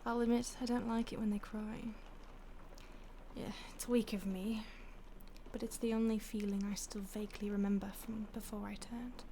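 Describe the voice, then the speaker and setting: A woman playing an ancient, detached vampire stalker in an intimate audio roleplay, with a breathy voice that often drops to a whisper and a languid, teasing manner. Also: mid-range voice, flat delivery, normal speed, dark tone, slight background noise, natural voice. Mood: musing, detached. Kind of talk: intimate audio roleplay, ASMR-like acting addressed to the listener.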